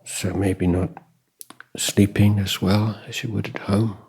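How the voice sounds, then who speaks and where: A man giving guided meditation instruction as a Buddhist teacher, calm and measured, with long pauses and a husky, breathy voice. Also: lowish voice. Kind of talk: guided meditation dharma talk.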